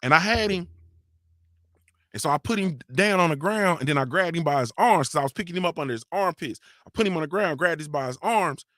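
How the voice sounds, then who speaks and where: A man's voice: a little high, animated, fairly quick, a bit bright, dry recording, clean studio sound. A man hosting a morning show, chatting loosely and cussing often, with slangy storytelling and constant "you feel me" tags. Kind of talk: casual live-stream chat reading comments.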